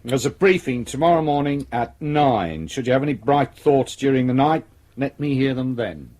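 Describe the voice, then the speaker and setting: An actor as a brusque, domineering police chief. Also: male voice, medium pitch, animated, moderate pace, a little mellow, natural voice. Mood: stern, threatening. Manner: brusque, authoritative, threatening. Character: domineering senior police detective in charge of murder case. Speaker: senior detective. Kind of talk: radio drama acting.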